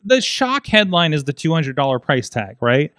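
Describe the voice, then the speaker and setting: A man's voice: on the high side, sing-song, normal speed, fairly bright tone, no echo. A man in his forties hosting a tech podcast, chatting casually with lots of fillers and restarts into a studio mic. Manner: rambling, many fillers and restarts. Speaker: podcast host. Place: small studio with foam panels. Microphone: studio mic on boom arm, headphones.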